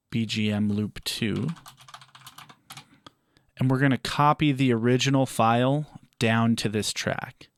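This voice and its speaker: A man presenting a step-by-step audio software tutorial in a measured, explanatory way. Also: mid-range voice, no room sound.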